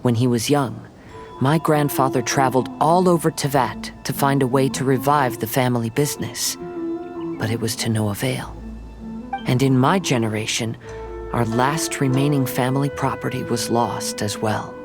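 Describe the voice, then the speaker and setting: A young wandering samurai played by a man talks in a gentle, reserved, mid-pitched voice. His delivery is formal and reflective, video game dialogue over clear background music. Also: moderate pace, close to an everyday voice. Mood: pensive.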